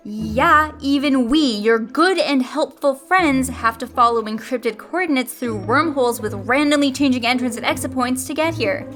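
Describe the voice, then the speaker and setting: A cheeky, self-satisfied sidekick played by a woman tosses out wry, flippant lines in a comic sci-fi audio play. The voice is on the high side, with faint music behind. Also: normal speed, few pauses, a bit bright. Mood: wry, teasing. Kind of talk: audio comedy sci-fi drama.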